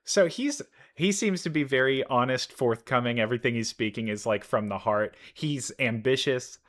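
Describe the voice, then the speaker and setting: A man giving casual, enthusiastic reaction commentary, halting as he thinks aloud. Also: mid-range voice, a bit bright. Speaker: reaction video host, gamer.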